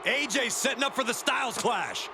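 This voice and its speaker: A man's voice: extremely high pitch, lively intonation, moderate pace. An actor playing an opinionated male wrestling analyst commentating at ringside in a video game. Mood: serious. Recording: loud arena noise and thuds.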